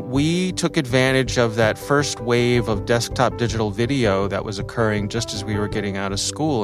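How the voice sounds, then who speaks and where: A middle-aged man with an American accent, a podcast host talking through his own story in a conversational, thoughtful way. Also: lowish voice. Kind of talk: reflective personal storytelling for a podcast.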